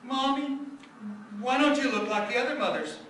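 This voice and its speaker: Man voicing a high-pitched, puzzled little boy. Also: lively intonation, moderate pace, a bit bright, a little hiss or hum. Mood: puzzled, insistent. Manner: pestering, questioning. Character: puzzled, insistent young boy questioning his mother. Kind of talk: radio drama character dialogue. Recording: echoey, distant microphone.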